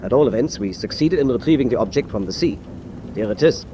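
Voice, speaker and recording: An actor playing a dutiful, deferential German first officer in a radio drama, formal and precise. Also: male voice, medium pitch, animated, quick pace, noisy background, natural voice. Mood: dutiful, reporting.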